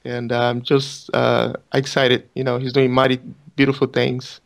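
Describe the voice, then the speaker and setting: A man's voice: mid-range voice, monotone, a little slow, vocal fry. A man from Brazil with a Brazilian accent, a pastor leading prayer and talking earnestly on a call-in ministry show. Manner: earnest, repeats phrases, preaching tone. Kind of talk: radio ministry talk and prayer.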